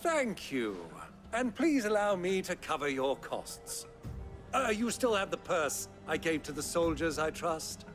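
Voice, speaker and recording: A man's voice: fairly high pitch, sing-song, measured pace, a bit bright, faint music behind. An actor playing a theatrical, boastful middle-aged nobleman in a video game cutscene. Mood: haughty. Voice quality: theatrical, hammy. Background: game score.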